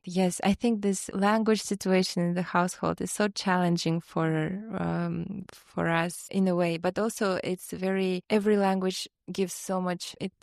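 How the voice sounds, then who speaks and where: A middle-aged woman from Kazakhstan, co-hosting a podcast in casual, thoughtful talk with many pauses and fillers. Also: lowish voice, gravelly, a little mellow, no echo, studio quality. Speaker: podcast co-host. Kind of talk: casual chat.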